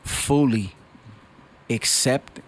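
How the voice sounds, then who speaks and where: A man's voice: mid-range voice, very slow. Earnest man speaking frankly in an interview. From West Covina, California. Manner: earnest and reflective, sprinkles in "bro" and "dog". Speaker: rapper guest. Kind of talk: candid interview talk.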